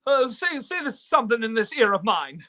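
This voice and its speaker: A man's voice: very high voice, big pitch swings, fairly quick, sharp tone, exaggerated voice. A man playing a despairing, folksy everyman who stammers, in a live reading of an old-time radio play. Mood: bewildered. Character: despairing, stammering everyman wishing he was never born.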